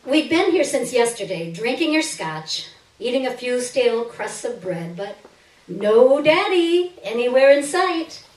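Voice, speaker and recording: A woman giving an expressive, theatrical reading of a first-person short story on a stage. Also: fairly high pitch, measured pace. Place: live stage reading indoors. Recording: roomy stage, distant microphone.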